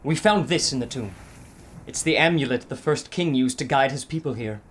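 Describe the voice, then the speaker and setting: A man's voice: mid-range voice, lively intonation, moderate pace, a little hiss or hum, lightly acted. A man playing an earnest, polite scholar and doctor. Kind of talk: radio play acting.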